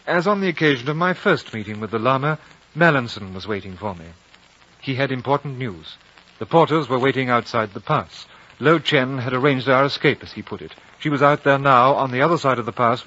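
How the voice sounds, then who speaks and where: World-weary adventurer and veteran for vintage radio drama: measured, wistful, literate, bright-edged tone, dulled broadcast sound. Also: male voice, mid-range voice, animated. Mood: somber. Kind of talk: 1950s radio drama narration and dialogue. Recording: dull, band-limited 1950 radio broadcast recording.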